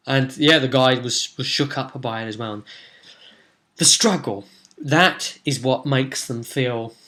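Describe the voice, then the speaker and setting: A man, a game master telling the story of a role-play session in a casual, animated way. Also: medium pitch. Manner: animated storytelling, acting out players' reactions. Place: small room at home, indoors.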